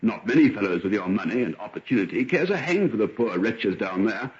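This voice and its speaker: An actor playing a hearty, jovial upper-class gentleman chum, emphatic and clubby, in an old-time radio drama. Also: on the low side, animated, brisk, lightly acted. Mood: hearty, insistent. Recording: dull, noisy vintage radio recording.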